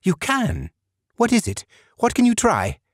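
A man voicing a lovesick male sleep demon, wailing and quavering in a high voice. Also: huge pitch swings, unhurried, husky, dry recording, clean studio sound, full character voice. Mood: eager. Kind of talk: audiobook character voice.

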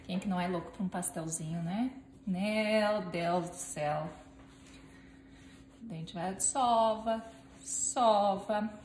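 A woman's voice: mid-range voice, slow pace, pauses often, darkish tone. Chatty woman cooking in an echoey kitchen. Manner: chatty and playful, talks to viewers and her helper while kneading. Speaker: home cooking vlogger. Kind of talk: casual cooking demonstration. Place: home kitchen, indoors. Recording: echoey kitchen with clear background noise.

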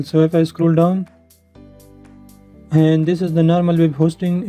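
Very dark-voiced man narrating a tutorial. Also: on the high side. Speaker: tutorial presenter. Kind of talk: instructional screen-share narration. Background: background music.

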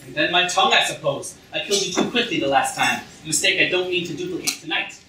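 Guy acting a pompous villain prince on stage, high forceful voice, echoey and far off. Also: fast. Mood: arrogant, impatient. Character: haughty, scheming royal villain, an arrogant prince. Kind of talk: theatrical staged reading. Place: lecture-room stage with audience, filmed from seats. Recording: echoey distant hall, faint noise.

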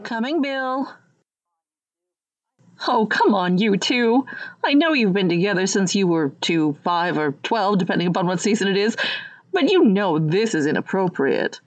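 A woman's voice: mid-range voice, animated, measured pace, muffled recording. A woman playing a prim, disapproving sitcom mother, scolding melodramatically in a comic radio play.

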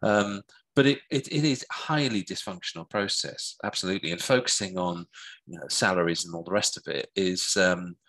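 A man's voice: deep voice, a little fast, a bit bright, poor audio. A middle-aged man speaking over a headset on a video call, answering thoughtfully with many restarts and fillers. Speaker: guest speaker. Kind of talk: online seminar discussion. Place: home room.

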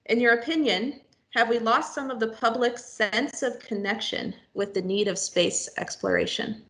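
A young woman moderates over a video call from a room at home, her voice high and bright. She reads audience questions aloud in a brisk, friendly manner. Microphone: video call webcam.

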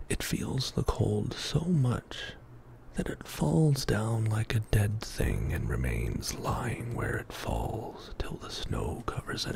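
A middle-aged man reading a bedtime story as ASMR in a soft, breathy whisper, hushed and soothing, close to the microphone in a small indoor studio. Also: fairly low pitch. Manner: slow, hushed, soothing narration.

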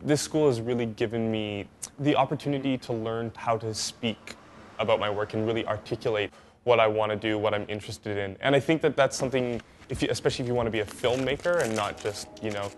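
A man's voice: fairly low pitch, quick pace, slight background noise. A man from Banff, a film student speaking casually and earnestly in an interview testimonial. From Banff, Canada. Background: music.

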